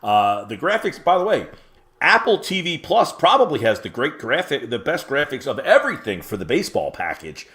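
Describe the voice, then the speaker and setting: A man in his late forties co-hosts a sports podcast, sharing his views in a rambling, opinionated way with lots of filler words. His voice sits at an ordinary male pitch. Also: very animated, moderate pace, fairly bright tone. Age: 40s. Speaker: podcast co-host. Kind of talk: sports media podcast chat.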